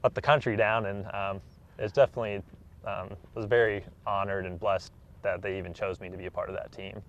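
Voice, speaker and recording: Young American man, lowish pitch, college athlete in a casual interview, earnest and unpolished. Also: level tone, a little fast, dull audio. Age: young adult.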